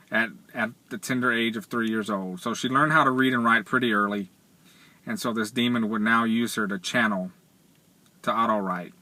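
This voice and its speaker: Middle-aged man, flat and halting. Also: fairly low pitch. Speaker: vlogger. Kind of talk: casual spoken commentary, retelling a story. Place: inside a parked car. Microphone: camera or phone at arm's length.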